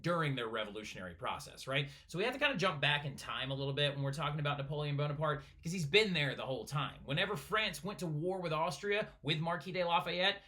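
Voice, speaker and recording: A man teaching a recorded history lesson to his class, often checking in with "right?". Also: on the high side. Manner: fast, animated, keeps asking "right?". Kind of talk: recorded lecture for a flipped class.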